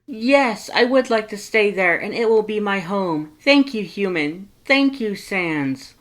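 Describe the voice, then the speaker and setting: A reader voicing an exuberant, kind-hearted male skeleton in a fan fiction story, loud and emphatic with formal wording. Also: lively intonation, slow. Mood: overjoyed, yelling. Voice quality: loud, booming. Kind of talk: animated fan fiction character reading.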